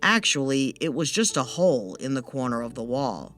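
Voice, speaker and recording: A woman's voice: rumbling low, very animated, normal speed, fairly bright tone, faint music behind. A woman narrating a scripted fairytale as a storyteller.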